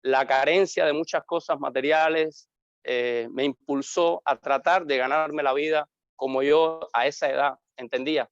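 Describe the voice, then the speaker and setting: A Cuban man, a pastor, telling his life story in an interview in an earnest, reflective way, with pauses. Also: on the high side, fairly even intonation, clear, resonant tone, no echo, highs cut off. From Cuba. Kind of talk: interview, personal testimony.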